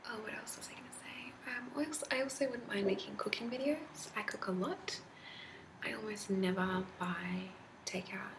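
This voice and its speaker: A woman with an Australian accent whispering softly into a close mic for an ASMR talking video, rambling with frequent hesitant ums. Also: mid-range voice, gravelly. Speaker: ASMR creator. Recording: steady background noise.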